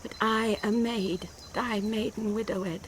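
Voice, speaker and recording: An actress with a British accent playing a passionate, distraught young bride in classical Shakespearean radio drama, phrasing verse theatrically. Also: female voice, mid-range voice, fairly even intonation, slow pace, a little hiss or hum, lightly acted. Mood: despairing, subdued.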